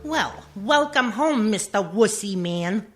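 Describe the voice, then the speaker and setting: A woman's voice: medium pitch, expressive, takes it slowly, loud music behind the voice. A woman acting a brash, sarcastic mobster's wife and mother in a comedic radio play, with a blunt, scolding manner.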